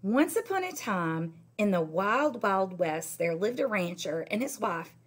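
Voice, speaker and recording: A middle-aged woman, a teacher, reading a children's story aloud in an expressive, emphatic way. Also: mid-range voice, measured pace. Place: indoor school classroom.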